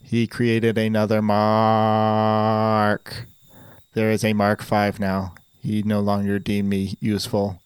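A man playing a resentful, pompous robot that speaks in a flat monotone with stiff, formal phrasing. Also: lowish voice, slow, smooth, no room sound. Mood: deadpan. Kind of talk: improvised comedy sketch.

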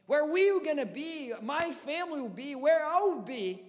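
Pastor, 30s, Brazilian Portuguese accent, high-ish voice, passionate preaching, hall echo. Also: male voice, animated, normal speed. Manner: impassioned preaching with rising rhetorical questions. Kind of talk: sermon.

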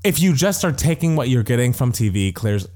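A young American man co-hosting a casual pop culture chat, retelling things in a gossipy, animated way with acted-out quotes. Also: mid-range voice, a little fast. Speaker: podcast co-host.

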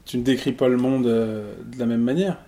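Measured male interviewer, mid-pitched. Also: fairly even intonation, crowd noise. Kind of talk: interview host.

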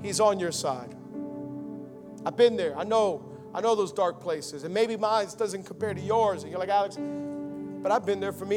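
A young man from Miami preaching a Christmas sermon, moving between rousing calls and quiet personal talk. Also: high voice. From United States (Miami). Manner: rousing preaching, swinging from loud and fervent to quiet and intimate. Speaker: pastor.

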